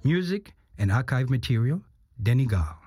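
A man's voice: mid-range voice, big pitch swings, normal speed, warm tone, husky, no echo. A man reading scripted radio play narration and credits as an announcer. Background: music.

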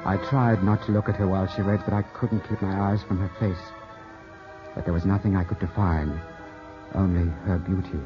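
An actor in a 1950s radio drama playing an earnest, brooding young gentleman, formal and intense in delivery. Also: low voice, steady tone, normal speed, music in the background, close to an everyday voice. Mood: brooding narration. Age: young adult. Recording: dull, muffled old radio recording.

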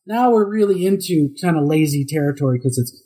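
A middle-aged man with a high tenor voice, a guest voice actor telling chatty anecdotes in an interview, laughing often and acting out the quotes. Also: lively intonation, fairly quick, dark tone, slightly rough. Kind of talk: casual interview storytelling.

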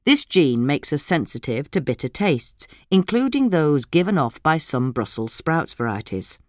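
A woman's voice: low voice, dry recording, clean studio sound. A woman reading a scripted article aloud as a narrator.

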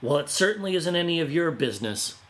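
A man's voice: on the high side, lively intonation, quick pace, fairly bright tone, pitched above the performer's own voice. A man voicing old man Autumn, a proud spirit who paints leaves, in a testy, dismissive manner in a storybook reading.

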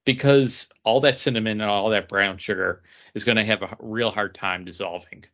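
A man's voice: lowish voice, fairly even intonation, a little fast, a bit bright, dull audio. A man co-hosting a podcast, chatting casually and explaining things in detail. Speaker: podcast co-host. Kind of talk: casual podcast chat about cocktails.

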